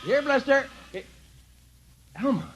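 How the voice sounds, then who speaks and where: An actor playing a gruff but genial military father, folksy and a bit blustery, in a 1950s radio drama. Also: very high voice, animated, takes it slowly, very noisy, exaggerated voice. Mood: calling, puzzled. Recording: muffled old radio drama recording.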